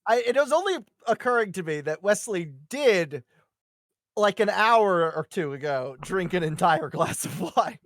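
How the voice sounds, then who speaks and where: A woman's voice: on the low side, lively intonation, measured pace, dry recording, clean studio sound. A woman chatting casually and playfully as a cast member in a live table read.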